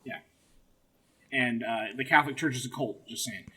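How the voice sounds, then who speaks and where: A man's voice: mid-range voice, moderate pace, sharp tone, breathy edge. A man hosting a casual comedy history podcast, explaining things in a wry, sweary way. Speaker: podcast host leading the episode.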